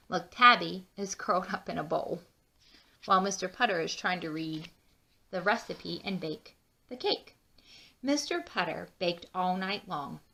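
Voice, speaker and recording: A woman reading a children's storybook aloud with expressive, drawn-out emphasis and chatty asides. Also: mid-range voice. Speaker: children's book read-aloud narrator.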